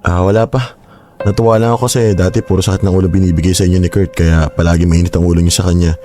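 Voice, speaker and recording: A man voicing a young teacher who speaks quickly in a slightly low voice and is fiercely protective of his pride as the older brother. This is a radio-drama scene with faint music behind him. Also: level tone, close to an everyday voice. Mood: calm. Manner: defensive, quickly flares into shouting.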